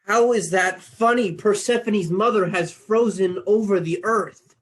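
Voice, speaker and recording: A man's voice: high voice, measured pace. A man voicing an anxious, exasperated messenger god. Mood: urgent.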